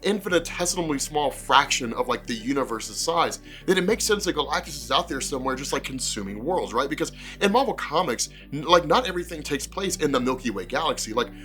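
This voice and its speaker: A man's voice: on the high side, animated, brisk, hardly any pauses, sharp tone, soft background music. A man with a congested, cold-affected voice giving casual, rambling fan commentary as a video host, repeating himself and peppering his talk with "like". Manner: rambling, conversational, repeats phrases and says like often. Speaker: comics explainer video host.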